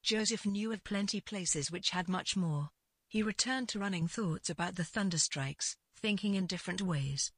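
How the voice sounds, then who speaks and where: A woman with a husky voice narrating literary fiction as a scripted read, in deliberate phrases with pauses. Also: mid-range voice, no room sound.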